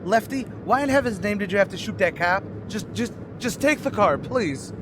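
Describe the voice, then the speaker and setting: Male actor as desperate jobless father, radio drama, earnest, halting, pleading, slightly high male voice. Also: animated, very noisy. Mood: panicked. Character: desperate, earnest, down-on-his-luck young father seeking work. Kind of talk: old-time radio drama acting.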